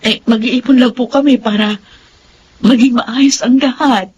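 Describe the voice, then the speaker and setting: An actress playing an emotional, happy young bride-to-be in a Filipino radio drama. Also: female voice, fairly high pitch, fairly even intonation, unhurried, darkish tone, a little hiss or hum, lightly acted. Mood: tearful happiness. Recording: dull lo-fi sound under music.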